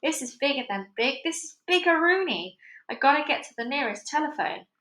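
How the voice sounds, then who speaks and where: A woman voicing a bulky, excitable male sheriff who talks in a frantic, rambling way. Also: high-pitched, fast talker, voice pushed up. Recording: roomy echo.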